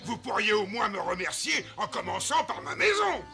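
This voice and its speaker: A man's voice: high-pitched, sing-song, normal speed, vocal fry, heavily acted. A man voicing a bossy, self-important animal with a huffy manner in a French cartoon. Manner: pompous, huffy, challenging. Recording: music behind the voice.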